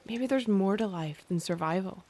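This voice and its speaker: A woman's voice: medium pitch, normal speed, warm tone, natural voice. An actress playing a restless, wry schoolgirl in an audio drama, with a Northern Irish accent and a musing, youthful manner. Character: restless, wry schoolgirl dreaming beyond survival. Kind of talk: audio drama acting, inner monologue.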